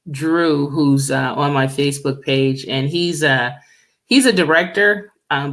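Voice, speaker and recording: A middle-aged woman singer with a deep, low voice, chatting easily as a radio guest and laughing often. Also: a bit bright. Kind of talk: casual radio interview chat.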